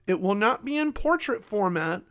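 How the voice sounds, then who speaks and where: A man with a slightly raspy voice giving a chatty, self-mocking channel update to his viewers. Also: sits high, sing-song, normal speed, a bit bright. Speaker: YouTube creator. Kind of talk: casual channel update monologue.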